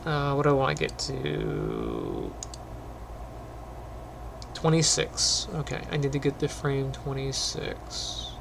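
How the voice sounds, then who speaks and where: A middle-aged man who teaches animation, chatting casually while he works, with a phlegmy voice, frequent throat clearing and lots of ums. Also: mid-range voice, slow, long pauses, background noise. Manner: relaxed, rambling, many ums. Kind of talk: casual screen-recorded tutorial chat.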